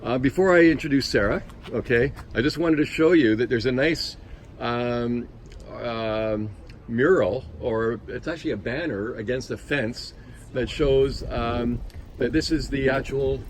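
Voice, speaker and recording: A man's voice: medium pitch, unhurried. A man hosting a casual travel vlog outdoors, rambling and hesitant with many fillers as he interviews a passer-by. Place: outdoors on a city street by a building. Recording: outdoor street recording with clear traffic noise.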